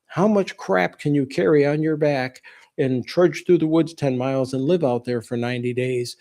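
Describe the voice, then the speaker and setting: A man around sixty with a soft-edged, mellow voice talks casually as the host of a livestream. His delivery is relaxed and folksy, in plain words, and he drifts off into asides before returning to his point. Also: a little high, moderate pace.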